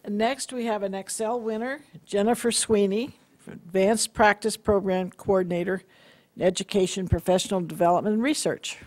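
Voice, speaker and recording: A woman's voice: mid-range voice, steady tone, moderate pace, a little mellow. A woman presenting at a public board meeting, thanking a speaker and introducing the next award winner. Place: meeting room.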